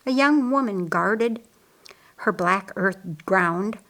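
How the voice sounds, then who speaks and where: A woman reading historical fiction aloud as an expressive audiobook narrator. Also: mid-range voice, takes it slowly.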